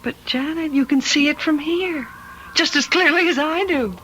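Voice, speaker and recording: A woman's voice: sits high, moderate pace, a bit bright, a little hiss or hum, poor audio. An actress playing an anxious but practical woman traveler, earnest and imploring, in an old-time radio drama. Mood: worried, earnest.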